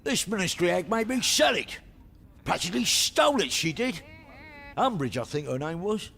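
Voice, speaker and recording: A man playing a shifty, grumbling petty thief in a video game, gravelly, whining and evasive. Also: sits high, big pitch swings, normal speed, pushed and bright, full character voice. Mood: aggrieved.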